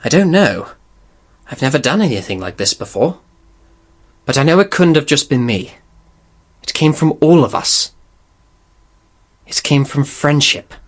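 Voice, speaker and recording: A woman's voice: low voice, expressive, measured pace, long pauses. A woman playing an earnest, bookish young unicorn apprentice who speaks solemnly in an audio drama.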